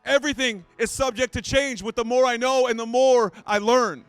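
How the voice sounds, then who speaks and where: A man's voice: very high-pitched, fairly even intonation, normal speed, smooth, no echo, faint music behind. A man in his late thirties preaching in a conversational, self-deprecating way, often repeating his phrases. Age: 30s. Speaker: pastor. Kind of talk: sermon.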